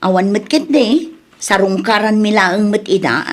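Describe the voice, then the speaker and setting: A woman playing a spendthrift, sharp-tongued wife in an Ilocano comedy radio drama, with an Ilocano accent and a teasing, bickering way of talking. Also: mid-range voice, normal speed, natural voice. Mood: breezy.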